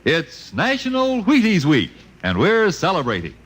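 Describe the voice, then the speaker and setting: A man announcing on 1950s radio, delivering a sponsor pitch in a folksy, jovial way. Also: huge pitch swings, normal speed, a bit bright, slightly rough.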